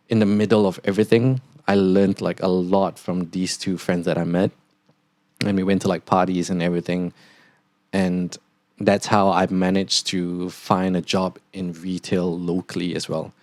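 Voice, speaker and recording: Young male podcast host, Singaporean accent softened toward neutral, low voice, loose filler-heavy delivery. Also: little pitch movement, moderate pace, no room sound. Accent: Singaporean, partly neutralized toward Australian. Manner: rambling, lots of "like" fillers. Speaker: podcast host, international student. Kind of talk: casual podcast monologue.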